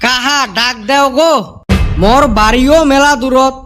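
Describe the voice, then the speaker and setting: A man's voice: very high voice, fairly even intonation, measured pace, pushed and bright, heavily acted. A man voicing a boastful, stern young tuition master who rattles easily, in a comic cartoon, with a northern Bengali accent. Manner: boastful, haggling. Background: music.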